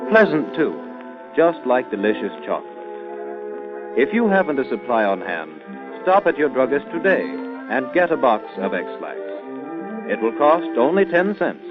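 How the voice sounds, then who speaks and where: A man reading scripted narration and announcements as an old-time radio announcer, in formal, emphatic, measured phrases. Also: medium pitch. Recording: muffled vintage radio recording, music behind. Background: music.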